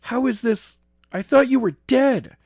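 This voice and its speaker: A man's voice: extremely high pitch, unhurried, a little mellow, no echo, studio quality, voice pushed up. A man playing an emotional young martial arts student in a story, exclaiming urgently. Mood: tearful shock.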